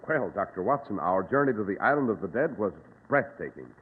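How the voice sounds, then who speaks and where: A man's voice: lowish voice, very animated, brisk, husky, faint music behind. A man speaking as an announcer in 1940s radio hosting style. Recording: narrow, reverberant old radio recording.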